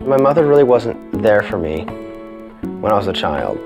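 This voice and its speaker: A man's voice: lowish voice, normal speed. A man in his twenties, earnestly recounting his life in a documentary interview. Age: 20s. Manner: flat, earnest. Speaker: reality show subject. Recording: music playing under the voice.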